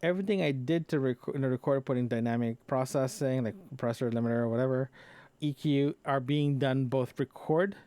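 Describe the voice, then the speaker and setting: A man with a medium-pitched voice talks off the cuff, as in a personal podcast diary. He rambles and halts, circling back to repeat or rephrase his words. The sound is close, compressed and very dry, with no room echo. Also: fairly quick, dark tone, smooth. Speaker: podcaster recording a personal audio log. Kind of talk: casual unscripted solo chat. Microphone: close microphone into a recorder, compressed.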